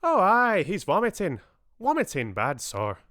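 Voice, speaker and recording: A man voicing a solemn, precise, deaf gentleman with a rich accented voice, emphatic and repetitive, in an audiobook. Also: high voice, huge pitch swings, slow, no room sound, clean studio sound, pitched above the performer's own voice, full character voice. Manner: emphatic, repeats himself. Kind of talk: audiobook character dialogue.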